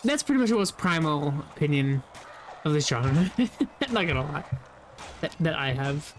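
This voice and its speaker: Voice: mid-range voice, big pitch swings, moderate pace, a little hiss or hum. A young adult gamer talking loosely over gameplay, rambling, laughing often and swearing casually. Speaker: gameplay video creator.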